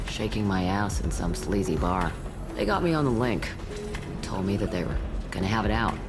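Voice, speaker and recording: A woman playing a world-weary, foul-mouthed old alien matriarch bartender in a video game, with sardonic, dry delivery. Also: a bass voice. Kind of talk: video game character acting. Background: loud background music.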